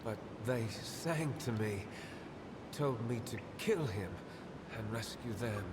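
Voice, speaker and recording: A man acting a fervent, obsessed young acolyte in a video game, speaking with dreamy, halting reverence. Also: lively intonation. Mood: haunted reverence. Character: obsessed young acolyte, crystal-mad killer, fervent and haunted. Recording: long cavernous reverb, loud rumbling noise.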